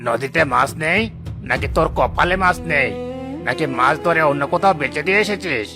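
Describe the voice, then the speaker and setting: A man voicing a greedy, bullying fish trader in a cartoon, creaky-voiced, with a mocking, domineering manner. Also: on the high side, brisk, over music, natural voice. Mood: suspicious, sneering. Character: greedy, sneering, bullying male fish trader and boat owner. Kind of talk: dramatised cartoon dialogue.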